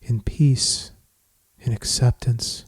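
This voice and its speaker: A man leading a guided meditation in scripted poetic narration, speaking in a measured, soothing, solemn manner with long pauses. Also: medium pitch, fairly even intonation, husky, dry recording.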